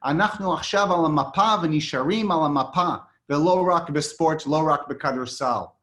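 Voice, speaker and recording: Man doing an excited young American athlete, American-accented Hebrew, triumphant, emphatic, slightly high voice. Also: moderate pace, natural voice. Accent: American-accented Hebrew, put on.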